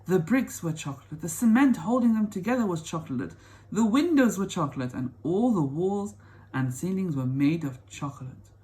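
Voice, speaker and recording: A man reading a children's story as an excitable old grandfather, full of wonder, emphatic and savoring each detail. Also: sits high, moderate pace, voice pushed up. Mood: awed. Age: older. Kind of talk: children's storybook read-aloud.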